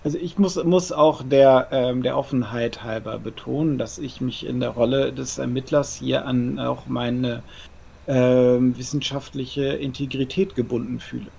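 A scholarly, hesitant investigator with a creaky, rough-edged voice. He fumbles and halts through fussy phrases, as in a radio play. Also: mid-range voice, steady tone, dark tone. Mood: awkward earnest. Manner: halting, stammering, awkward. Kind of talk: German audio drama acting.

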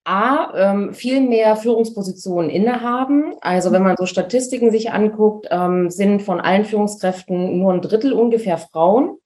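A woman's voice: medium pitch, normal speed, hardly any pauses, darkish tone. A woman who is a business coach answering podcast interview questions in a casual, enthusiastic way, with slangy words and frequent laughter.

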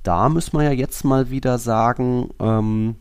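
A man's voice: medium pitch, steady tone, a little slow, smooth. A man talking casually as a football podcast co-host, thinking aloud in colloquial language.

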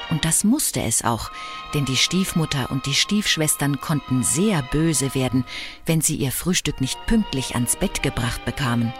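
Stately fairytale narrator, low voice, lively melody, music behind her. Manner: measured, solemn old-fashioned storytelling. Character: stately fairy-tale storyteller of an animated classic. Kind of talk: scripted fairy-tale narration.

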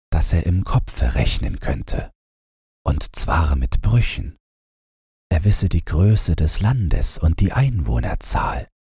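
Male audiobook narrator, low mellow voice, unhurried, calm, gentle, bedtime storytelling. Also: slightly rough, no room sound, studio quality. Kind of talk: bedtime fairy-tale narration, read from script.

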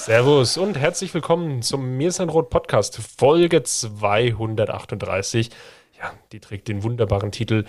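A man hosting a football podcast in casual chat, his voice stuffy and congested from a sinus infection, relaxed and a bit jokey. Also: medium pitch, moderate pace, slight background noise. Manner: relaxed, jokey, leads the conversation. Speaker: podcast host.

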